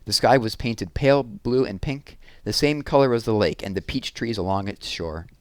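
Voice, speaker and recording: A man's voice: on the low side, dry recording. A man reading a novel aloud in a scripted storytelling narration. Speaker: read-aloud reader.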